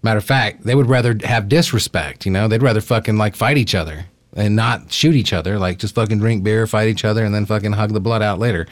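A man's voice: fairly low pitch, quick pace, hardly any pauses. A man hosting a casual talk show, rambling bluntly and swearing heavily as he goes. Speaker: podcast host. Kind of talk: casual profane chat.

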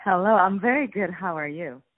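A woman from Poland, an actress, being interviewed over the phone, answering thoughtfully with many ums and pauses. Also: fairly low pitch, lively intonation. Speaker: guest actress. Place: on a phone call. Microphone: telephone. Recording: tinny phone line, dry.

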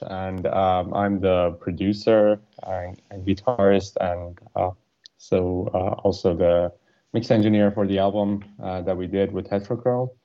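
A young man from Iran with a very deep, mellow voice answers questions hesitantly, stopping often for fillers and restarts. The audio is slightly muffled, like a remote call. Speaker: guitarist and producer. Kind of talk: casual interview answers.

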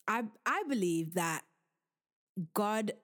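A young woman hosting a podcast, giving casual relationship advice in an animated, joking and preachy way. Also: medium pitch, slow. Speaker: podcast host.

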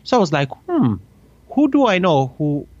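A man's voice: high voice, big pitch swings, a little mellow, no room sound, dull audio. A man with an Italian accent, a native Italian speaker in his thirties, chatting cheerfully as a podcast guest. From Italy. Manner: cheerful, chatty, quick with laughs. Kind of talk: casual interview chat.

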